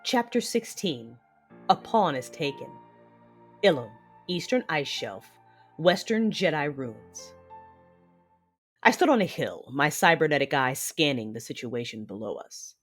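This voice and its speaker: A woman as an expressive, imperious dark warlord. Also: low voice, slightly rough, faint music behind, exaggerated voice. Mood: measured, brooding. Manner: measured, imperious. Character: commanding, calculating dark-side warlord mother in armor. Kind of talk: first-person dramatic narration.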